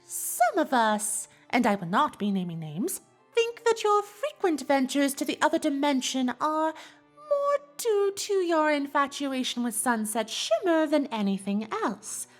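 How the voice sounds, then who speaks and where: Posh-mannered cartoon unicorn gal, high voice, super swoopy and dramatic, teasing gossip. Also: female voice, sing-song, soft background music. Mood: coy gossiping. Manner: affected, genteel, theatrical delivery with drawn-out words. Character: elegant, teasing female unicorn socialite, theatrical and gossipy. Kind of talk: fan fiction audio drama acting.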